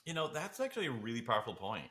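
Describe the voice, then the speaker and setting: A man's voice: mid-range voice, huge pitch swings, very fast, fairly bright tone. A man talking casually as a podcast co-host, in an informal conversational style. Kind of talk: casual chat.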